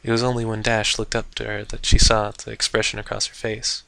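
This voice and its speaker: A man with a fairly low, forceful voice narrates fiction like a theatrical storyteller. The sound is dry and close, with every dramatic phrase placed clearly in front. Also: monotone, a little fast, dry recording. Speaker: host and narrator of fan fiction readings.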